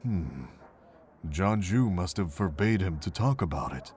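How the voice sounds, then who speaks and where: A man voicing a weary, kindly older monk with a measured, earnest way of telling things, in a fan visual novel. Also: low-pitched, animated, a little mellow, slight background noise. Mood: grave, earnest.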